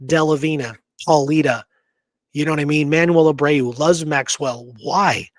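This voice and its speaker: A man's voice: a little high, fairly even intonation, normal speed, no room sound. A man co-hosting a casual history podcast, speaking in an emphatic, informal, argumentative way. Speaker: podcast co-host.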